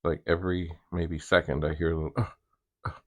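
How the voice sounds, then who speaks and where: A man hosting a hobby demonstration, thinking aloud in a casual, halting way and muttering asides to himself. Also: sits low, level tone, a bit bright. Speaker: vintage computer hobbyist host.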